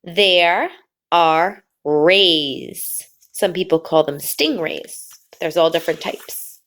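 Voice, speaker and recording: A woman teacher reading a picture book aloud to children, pausing before key words and explaining the pictures. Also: lowish voice, a bit bright. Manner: slow, drawn-out reading with sing-song pauses before the last word.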